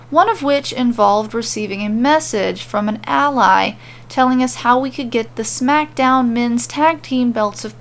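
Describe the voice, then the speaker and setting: A woman voicing a nervous, frustrated man, a bumbling kidnapper in an audio story, hesitant and slangy. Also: on the high side.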